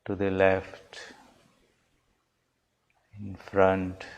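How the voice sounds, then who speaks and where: Male meditation guide: low, unhurried, calm and measured spiritual instruction. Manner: calm, measured, deliberate phrasing. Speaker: swami leading guided meditation.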